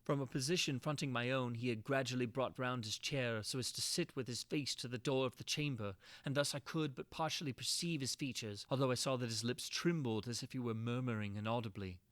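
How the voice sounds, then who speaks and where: A man reading a classic horror tale as a dramatic narration, in a formal, theatrical and measured manner. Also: medium pitch, fairly bright tone, no room sound. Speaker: reader of classic horror tales.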